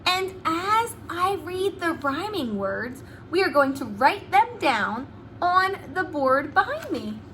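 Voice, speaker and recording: A woman preschool teacher reading aloud to young children, deliberate and expressive, with sing-song emphasis on key words. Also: very high voice, slight background noise. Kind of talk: read-aloud storytime lesson for young children.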